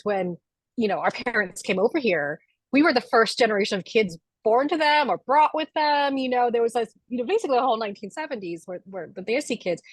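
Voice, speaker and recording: Lively American woman author chatting. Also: on the high side, no echo. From United States. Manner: chatty, animated, laughing often. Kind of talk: casual conversation. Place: library event.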